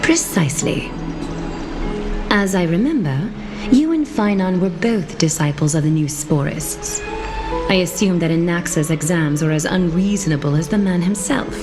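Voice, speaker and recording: A woman voicing a composed, regal female leader in a video game, speaking in formal, measured, eloquent phrases with dry disdain. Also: on the low side, lively intonation. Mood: dryly disdainful. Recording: music behind the voice.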